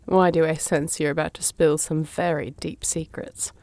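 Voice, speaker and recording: Woman doing a sly fantasy thief girl, dry and teasing, soft mellow lowish voice. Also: level tone, normal speed, no room sound. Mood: curious. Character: wry, shrewd female thief for hire. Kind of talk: fantasy audio roleplay acting.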